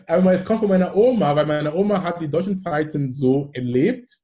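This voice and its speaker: A man's voice: on the high side, highs cut off. A man in his forties from Cameroon with a Cameroonian accent, telling stories animatedly as a guest in a casual talk. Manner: animated storyteller, quick laughs. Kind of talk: casual conversation.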